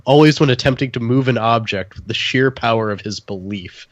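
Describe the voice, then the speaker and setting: A man's voice: medium pitch, fairly quick, background noise. A man co-hosting a podcast, chatting casually about films in a wry, jokey way and sometimes reading passages aloud. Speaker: podcast co-host. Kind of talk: casual comedic film chat, reading article passages aloud.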